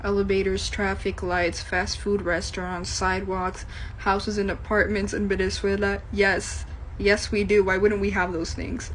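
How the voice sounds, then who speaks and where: A woman's voice: medium pitch, little pitch movement, measured pace, a little hiss or hum, poor audio. A woman who grew up in the United States, telling a funny family story to camera in a casual, amused way.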